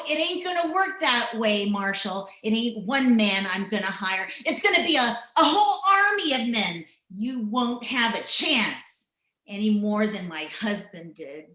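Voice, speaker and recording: A woman's voice: fairly high pitch, pushed and bright, heavily acted. A woman playing a mean, vengeful older rancher's wife in a radio western, with blunt rural speech. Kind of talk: old-time radio western acting. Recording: roomy, echoing home video-call recording.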